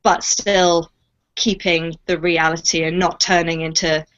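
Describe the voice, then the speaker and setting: Woman, slightly low voice, voice actress, casual Q&A, reflective and expressive, muffled lo-fi audio. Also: unhurried. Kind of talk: casual Q&A chat.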